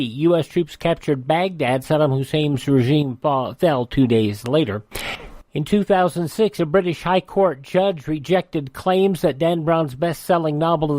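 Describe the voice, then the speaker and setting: A man's voice: fairly high pitch, a little mellow, dry recording. An older American man hosting radio, reading history items in a narrating style with chatty personal asides. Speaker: radio host. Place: radio studio.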